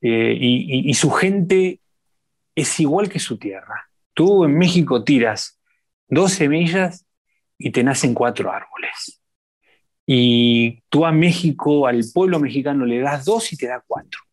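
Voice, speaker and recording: Expressive Argentine man telling stories. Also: medium pitch, measured pace. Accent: Argentine Spanish. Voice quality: warm, expressive, lingering on repeated words. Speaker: singer, interview guest. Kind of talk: reflective conversational storytelling.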